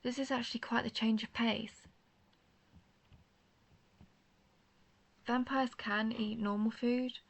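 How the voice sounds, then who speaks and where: Woman acting an earnest vampire huntress, creaky-voiced. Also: fairly high pitch. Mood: reflective. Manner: earnest, formal phrasing, addressing the listener directly. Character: intense, guarded female vampire hunter who is a vampire. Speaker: audio roleplay performer. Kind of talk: scripted first-person roleplay audio drama.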